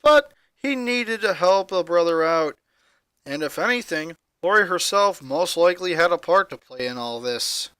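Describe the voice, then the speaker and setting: Someone reading fanfic narration, mid pitch, bright sound, no room echo. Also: expressive, normal speed, clean tone. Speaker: story reader. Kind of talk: scripted narration of fan fiction.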